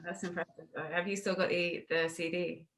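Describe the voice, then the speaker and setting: A woman's voice: fairly low pitch, level tone, fairly quick, fairly bright tone, slight background noise. A woman hosting a casual, conversational interview over a video call. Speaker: interviewer, host.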